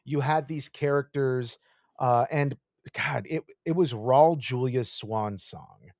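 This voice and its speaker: A man co-hosting a podcast, gushing with animated enthusiasm and swearing often in casual chat. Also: medium pitch, slow pace, dry recording, clean studio sound. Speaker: podcast co-host.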